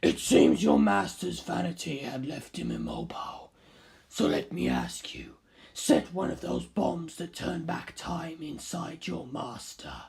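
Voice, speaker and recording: A narrator voicing an arrogant, ancient superhuman male villain in a story, with a slow, gravelly, scornful delivery. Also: wildly swooping pitch, a little mellow, whispered, exaggerated voice. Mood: cold commanding. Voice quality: very creaky, gravelly low rasp, breathy. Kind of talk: dramatic audiobook character acting.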